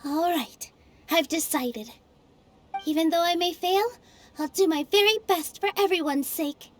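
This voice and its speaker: A woman voicing a gentle, self-doubting young female theater dancer who speaks softly and earnestly, in a game cutscene. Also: very high-pitched, unhurried. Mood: resolute. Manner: soft, hesitant, earnest.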